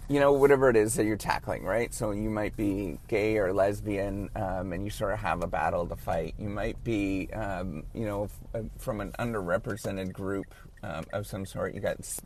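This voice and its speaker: A man in his late thirties talks in a low, gravelly voice, stumbling and stuttering through earnest advice. His monologue is casual and unscripted. Faint ambient noise sits behind him. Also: a little mellow. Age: late 30s. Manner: halting, stumbling, earnest encouragement. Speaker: professor giving advice.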